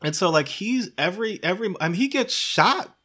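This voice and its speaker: A man casually breaking down a film in comedic podcast conversation, animated and often acting out quotes. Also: sits high, a little fast.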